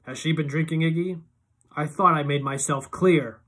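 A narrator voicing a cold, controlling ex-convict father who speaks slowly and deliberately. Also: medium pitch, fairly even intonation, husky. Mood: menacing. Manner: slow, quiet menace, deliberate.

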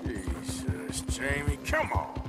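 An actor playing a weary middle-aged American outlaw in a video game, gruff but kindly, with a dry, folksy way of talking. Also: male voice, mid-range voice, huge pitch swings, takes it slowly, full character voice. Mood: wry, exasperated. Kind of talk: naturalistic video game drama acting. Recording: music behind game dialogue.

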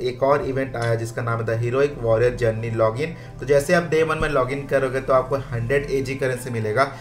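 A man casually walking viewers through game events step by step in an instructional way. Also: mid-range voice. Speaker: gaming video host. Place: voice-over with music behind.

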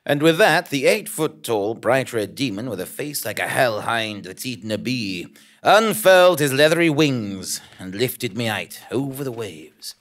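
A man's voice: medium pitch, big pitch swings, measured pace, a bit bright. A man playing a self-important investigative journalist who narrates a comic audio drama in a pompous, melodramatic manner. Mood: pompous narration.

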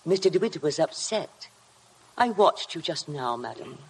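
A woman's voice: sits low, expressive, unhurried, creaky, a little mellow, heavily acted. An actress playing a sinister, obsessive housekeeper who goads her mistress with servile, hypnotic menace in a 1950s radio drama. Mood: icy formality. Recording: noisy old 1950 radio broadcast recording.

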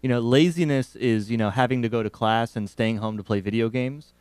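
A man's voice: mid-range voice, brisk, a little mellow, clear, resonant tone, no echo. An American man giving casual political commentary as a panelist on a talk show.

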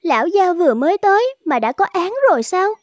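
A woman voicing a young, attentive household servant in an animated folk tale, respectful and solicitous. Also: extremely high pitch, brisk, no echo, studio quality.

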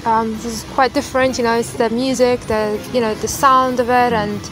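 A woman's voice: a little high, flat delivery, moderate pace, music in the background, poor audio. A young native Arabic-speaking woman chatting casually and a little hesitantly as a guest, recorded outdoors from a few metres away. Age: young adult. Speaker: guest singer. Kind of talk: casual chat. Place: outdoors in a park.

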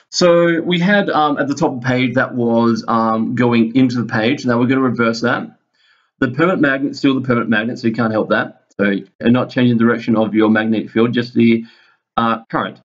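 A man teaching an informal screen-recorded lesson, explaining step by step in a halting way and thinking aloud. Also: medium pitch, dull audio. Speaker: physics teacher. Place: indoors, screen-recorded voice-over at a computer.

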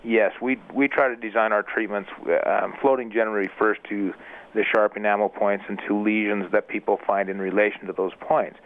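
Low-voiced man on a tinny phone line. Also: fairly even intonation. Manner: measured, methodical, explanatory. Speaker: equine dentist guest. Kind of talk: explanatory radio interview.

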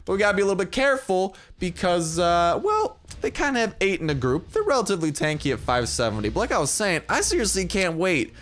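A young man giving casual, excitable commentary over his own gameplay, jumping into high yelps when the battle turns. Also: fast talker, few pauses. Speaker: gaming YouTuber.

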